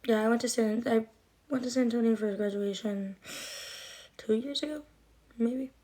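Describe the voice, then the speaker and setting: A woman's voice: a little high, fairly even intonation, moderate pace, warm tone, low-quality recording. A woman in her twenties chatting on a livestream, rambling with lots of fillers, with a slight lisp and trouble with her R sounds. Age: 20s. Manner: rambling and chatty, many likes and ums. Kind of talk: casual livestream chat answering comments.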